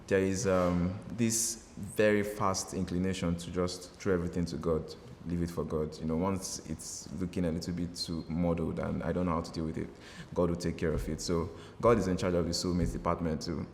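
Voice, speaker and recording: A Nigerian man with a deep, gravelly voice gives measured, analytical interview answers. He keeps his pitch flat, and his words ring in a reverberant room. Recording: roomy reverb, faint hiss.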